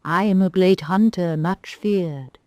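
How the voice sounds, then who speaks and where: A woman voicing a proud tawny owl, a great hunter, asking slow probing questions. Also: on the low side, darkish tone, dry recording. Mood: curious.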